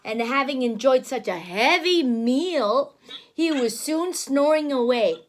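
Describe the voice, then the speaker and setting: A woman teacher reading a storybook aloud to a young child, expressive and exaggerated, with simple asides. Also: high voice, slow, slight background noise.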